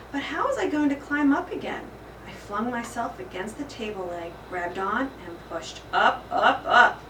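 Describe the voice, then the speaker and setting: A woman narrating a children's storybook aloud in an expressive, dramatic and emphatic style. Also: medium pitch. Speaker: school principal reading aloud. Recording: echoey room, distant mic, faint noise.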